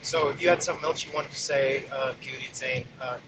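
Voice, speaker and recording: A man talks casually as a player at a role-playing game. His voice is medium in pitch. Music is clearly heard behind him. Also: fairly even intonation, brisk, rough recording. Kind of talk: casual role-play table talk.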